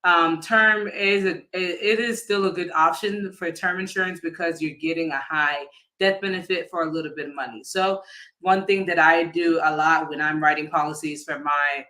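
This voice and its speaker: Bright, higher-pitched man explaining casually. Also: steady tone. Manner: casual, halting, often rephrasing mid-sentence. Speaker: insurance agent giving a financial education talk. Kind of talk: informal explanatory live talk.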